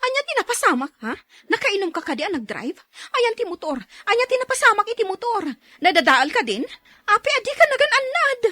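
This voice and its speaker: A woman acting a nagging, quick-tempered young wife with an Ilocano accent in an amateur audio drama. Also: extremely high pitch, very animated, sharp tone, no echo, exaggerated voice. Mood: angry, upset. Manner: scolding, emotive.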